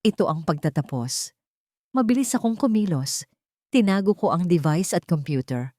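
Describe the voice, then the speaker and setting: A woman's voice: lowish voice, animated, moderate pace, warm tone, no room sound, clean studio sound. A woman with a Filipino accent narrating a dramatic first-person story as an audiobook reader.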